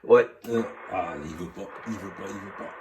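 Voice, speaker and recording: A man's voice: low voice, moderate pace, far from the mic, background noise. A man chatting casually over two-way radio as a hobby operator, speaking hesitantly, repeating himself and often apologetic.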